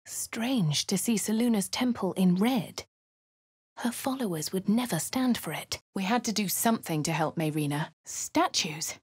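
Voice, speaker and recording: An actress voicing a posh English woman adventurer in a video game, speaking crisply with a dry, self-assured manner. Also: mid-range voice, animated, measured pace, no echo. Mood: wry. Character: posh young woman adventurer, cool and wry. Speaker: player character. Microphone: close studio mic.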